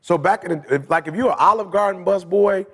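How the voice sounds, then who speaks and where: A man's voice: high voice, a little fast, fairly bright tone, breathy edge, long reverb tail. A man co-hosting a podcast in casual chat, teasing the others and slipping into preacher-like talk about faith. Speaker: podcast co-host.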